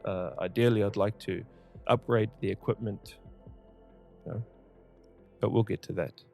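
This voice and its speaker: A man's voice: lowish voice, fairly even intonation, moderate pace, creaky, darkish tone, faint music behind. A man hosting his own podcast, earnestly explaining his plans and then interviewing his mother, with frequent hesitations. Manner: earnest, hesitant, many fillers. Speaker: podcast host. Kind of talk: casual podcast intro and interview.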